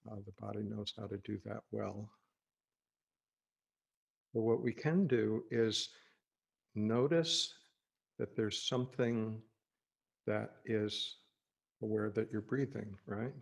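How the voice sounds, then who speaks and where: A man leading an unscripted guided meditation as a teacher, speaking gently and reassuringly in halting phrases with pauses. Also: lowish voice, steady tone, creaky, slightly rough.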